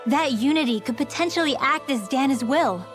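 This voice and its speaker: A woman's voice: high voice, expressive, normal speed, over music. A woman playing an earnest teenage girl mage in a video game cutscene, with a youthful delivery. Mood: thoughtful.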